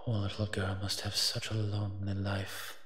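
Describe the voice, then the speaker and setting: A low, bright-edged male monster, as a man acts him out in an audio roleplay. He grumbles in a sardonic, even-pitched delivery, reluctant on the surface and secretly soft-hearted underneath. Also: normal speed. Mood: disdainful, musing. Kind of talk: scripted character monologue, audio roleplay.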